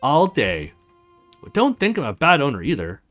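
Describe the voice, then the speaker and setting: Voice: medium pitch, huge pitch swings, moderate pace, fairly bright tone. A woman voicing a tiny magic fox, cheerful, playful and boastful, in a visual novel game over music.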